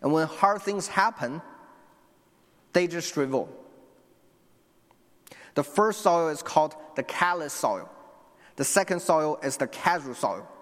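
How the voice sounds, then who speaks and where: A man's voice: a little high, expressive, slow, long pauses, in a large hall. A young man from China, preaching a sermon earnestly and speaking directly to his congregation. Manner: earnest, with restarts and asides to the congregation.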